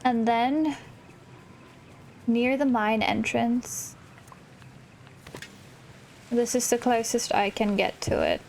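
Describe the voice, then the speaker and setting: Higher-pitched, unhurried woman gamer. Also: very animated, creaky, a little mellow, slight background noise. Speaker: gaming channel host. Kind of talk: casual let's play commentary, reading game dialogue aloud.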